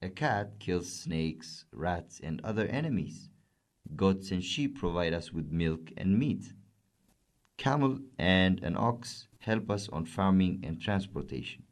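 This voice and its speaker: A man's voice: low voice, slow pace, heavily acted. A man reading as a kindly wise farmer. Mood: instructive. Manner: calm, gently instructive.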